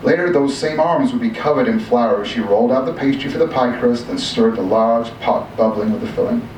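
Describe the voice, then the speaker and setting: An aging, obsessive big-game hunter played by a man tells his tale with pompous, theatrical flair and old-fashioned words. He is boastful yet wistful, in a medium-pitched voice. The storytelling is heard from off in an echoey room with clear background noise. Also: brisk, a little mellow, lightly acted. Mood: fond, nostalgic. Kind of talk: dramatic storytelling monologue read aloud. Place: live reading in a room. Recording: echoey room from a distance, noisy.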